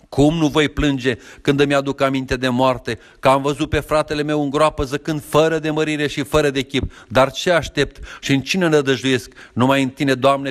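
A man reading a long Orthodox penitential prayer aloud in a steady, even recitation. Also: medium pitch.

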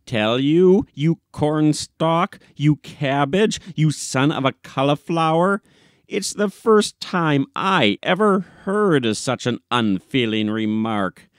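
A man's voice: fairly high pitch, animated, slow, no room sound, clean studio sound. A man reading an audiobook, playing a brash, self-righteous newspaperman who rants in a pompous, declamatory way. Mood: outraged. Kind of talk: dramatic audiobook reading of classic comic short story.

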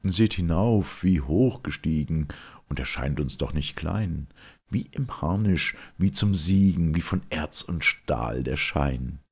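A man voicing a solemn ancient Greek chorus, admiring and mourning in measured verse. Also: a bass voice, expressive, breathy edge, exaggerated voice. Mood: reverent.

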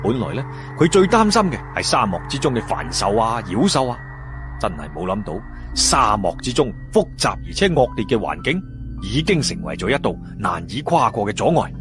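Deep-voiced, animated Hong Kong Cantonese male storyteller. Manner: expressive, colloquial, with drawn-out sentence particles. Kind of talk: dramatic audiobook-style fantasy story narration. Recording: music behind the voice.

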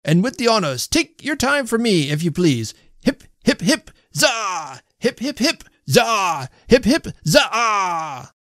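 A man voicing a smirking, patronizing, excitable little man in a storybook reading. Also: a little high, moderate pace, hardly any pauses, no room sound, studio quality, pitched above the performer's own voice. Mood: rousing. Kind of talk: storybook character voice.

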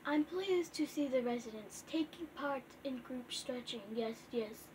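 Young girl rambling through gameplay, slightly high voice, hissy home recording. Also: a little mellow, poor audio. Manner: chatty, reads game letters aloud haltingly. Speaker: gaming vlogger. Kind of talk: casual gameplay chat. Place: dim bedroom in front of a TV.

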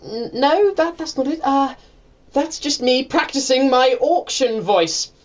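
A man's voice: very high voice, animated, a little slow, lo-fi sound. An actor playing a timid, snobbish posh man who hesitates and stammers, in a comedy audio drama. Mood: nervous, evasive.

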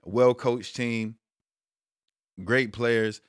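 A man in his thirties from Brooklyn, hosting a casual sports talk stream from a small room at home, switching between loud hype and low muttered asides. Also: little pitch movement, very slow, clear, resonant tone, dry recording, studio quality. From Brooklyn, New York. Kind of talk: casual monologue to viewers.

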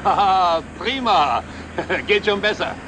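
An actor playing a jovial older company director in a 1960s German TV drama. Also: male voice, sits high, lively intonation, takes it slowly. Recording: old 1960s TV soundtrack, vehicle noise.

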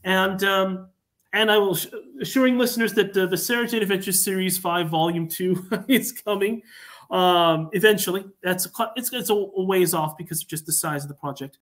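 A man speaks as a guest on a podcast over a video call. His voice is slightly high. He explains informally and wordily, halting often as he goes. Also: fairly bright tone. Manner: halting, hesitant, wordy. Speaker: podcast guest, fan production creator. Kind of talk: informal project talk.

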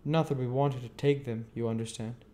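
A man's voice: mid-range voice, steady tone, moderate pace, warm tone, voice pushed up, close to an everyday voice. A man reading aloud voices a plain-spoken male village blacksmith with homely, hesitant speech.

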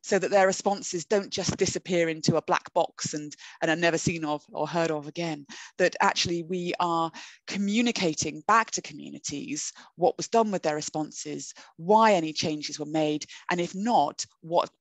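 Woman giving a measured webinar lecture. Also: low-pitched, no room sound. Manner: measured, explanatory, with frequent "um" and rephrasings. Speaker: academic speaker in a webinar. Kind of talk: recorded lecture presentation. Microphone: computer webcam mic on a video call.

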